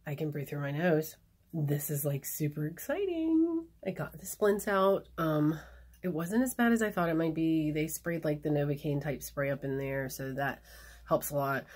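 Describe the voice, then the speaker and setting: A woman talks through a casual video diary with a low, husky voice that is still a bit hoarse. She rambles frankly, with plenty of fillers. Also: expressive, moderate pace, darkish tone. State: hoarse after surgery. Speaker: vlogger.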